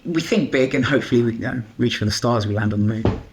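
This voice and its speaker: A man whose first language is Bengali talks as a guest on a podcast. His speech is halting and full of restarts and fillers. His voice sits at a middle pitch. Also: noisy background. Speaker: guest, AI company co-founder. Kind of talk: conversational podcast interview.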